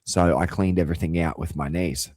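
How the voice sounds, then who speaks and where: A man's voice: deep voice, steady tone, fairly quick, a little mellow, no room sound, studio quality. A man delivering a rambling, profane rant as a vlogger. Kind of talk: rambling monologue.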